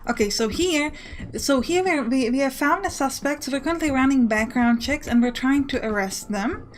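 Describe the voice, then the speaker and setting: A woman's voice: high-pitched, lively intonation, moderate pace. A woman giving casual let's play commentary, explaining a game as she plays it.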